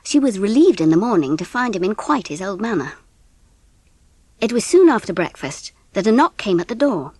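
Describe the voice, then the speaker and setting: A woman narrating a children's story audiobook in an expressive, scripted style. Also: mid-range voice, moderate pace, breathy edge, dry recording.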